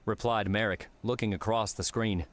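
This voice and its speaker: A man's voice: on the low side, steady tone, moderate pace. A man narrating an audiobook, reading a scripted adventure story in a dramatic storytelling style.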